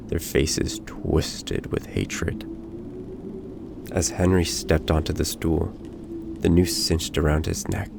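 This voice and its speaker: A man reads a scripted bedtime story in a very low, gravelly voice. His delivery is slow, dramatic and deliberate, with clear ambience playing behind him. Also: background noise. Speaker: storyteller in a bedtime roleplay audio.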